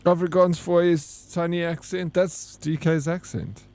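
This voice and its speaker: A man's voice: fairly high pitch, fairly even intonation, measured pace, a little mellow, dry recording. A man with a South African accent, giving casual, joking gameplay commentary as a streamer and bantering with his chat. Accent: South African English. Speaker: game streamer.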